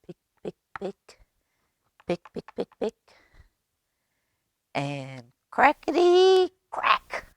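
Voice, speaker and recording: A woman reading a children's story aloud expressively, with dramatic pauses and coaxing questions to young listeners. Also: on the high side, huge pitch swings, no echo. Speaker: library storytime reader.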